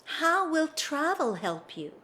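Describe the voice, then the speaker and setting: Composed, slow-spoken society lady. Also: high voice, big pitch swings, pushed and bright, heavily acted. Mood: restrained, grave. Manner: earnest, restrained, formal. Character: composed, reserved married English society lady. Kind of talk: period audio drama acting.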